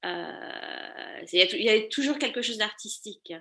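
A woman's voice: medium pitch, a little fast, a bit bright. A woman, answering casually as an interview guest in a loose, meandering way. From Paris, France. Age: 30s. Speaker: podcast guest, fashion accessories designer.

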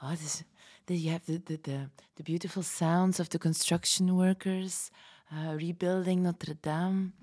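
A woman plays a dreamy, homesick woman on a future Paris radio show. Her voice is soft-edged and on the low side, and she rambles along unhurried and wistful, with a feisty streak. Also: steady tone. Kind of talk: fiction podcast panel interview acting.

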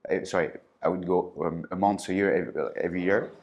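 A man's voice: low voice, steady tone, fast, darkish tone, dull audio. A man from France, telling his founder story in a casual interview, hesitant, with many fillers and restarts. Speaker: podcast guest, startup founder.

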